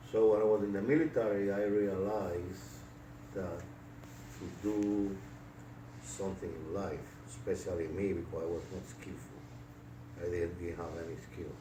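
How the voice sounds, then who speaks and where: A man in his sixties with a Puerto Rican accent tells his life story in an interview, halting, with many fillers and restarts. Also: fairly low pitch, mellow. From Puerto Rico. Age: 60s. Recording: echoey room, distant mic, noisy.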